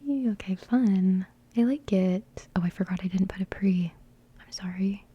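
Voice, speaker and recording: A young woman whispering a relaxed music reaction in ASMR style, giggling softly and rambling with plenty of fillers. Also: mid-range voice, normal speed, husky, lo-fi sound. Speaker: ASMR creator reviewing music.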